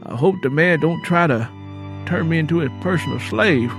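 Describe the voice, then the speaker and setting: A man's voice: on the high side, moderate pace, music in the background, pitched above the performer's own voice, close to an everyday voice. A man voicing a worried, worn-out poor farm labourer with folksy rural speech in an audiobook story. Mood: worried, indignant. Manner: folksy rural vernacular, hesitant and plaintive. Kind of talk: audiobook character dialogue.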